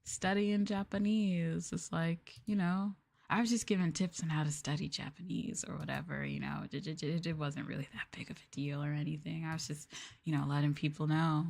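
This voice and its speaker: Canadian girl rambling on her stream, kinda low voice, giggly, says like a lot. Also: fairly even intonation, normal speed, vocal fry, darkish tone. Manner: rambling, giggly, self-deprecating, lots of "like" and "um". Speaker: VTuber streamer. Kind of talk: casual late-night chat stream.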